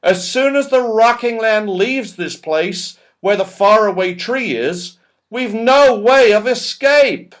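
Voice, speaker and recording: A man voicing an excitable, loud, eager fellow from a children's storybook, given to shouting and exclaiming. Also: high-pitched, slow, pitched above the performer's own voice. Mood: urgent shouting.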